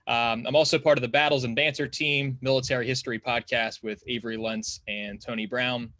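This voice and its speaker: Bright, crisp-edged man in a dry room. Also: mid-range voice, fairly even intonation, brisk, no echo. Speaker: history podcaster and contributor, panelist. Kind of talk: informal self-introduction on live stream.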